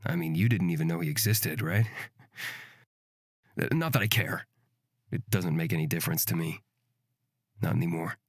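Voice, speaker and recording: A man's voice: low-pitched, moderate pace, pauses often, no room sound, clean studio sound. A man playing an aloof, sarcastic young fantasy character with a dismissive drawl in a visual novel.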